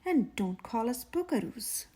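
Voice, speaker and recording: Preschool girl sleuth, played by a woman: high, earnest, officious, storybook read-aloud. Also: big pitch swings, measured pace, warm tone, slightly rough, full character voice. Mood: bossy. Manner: official, grown-up detective phrasing.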